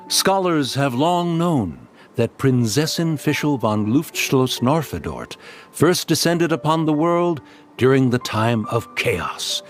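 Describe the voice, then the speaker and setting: An actor voicing a solemn storybook narrator in a game, reciting in a formal, stately manner. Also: male voice, mid-range voice. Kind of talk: scripted narration. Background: music.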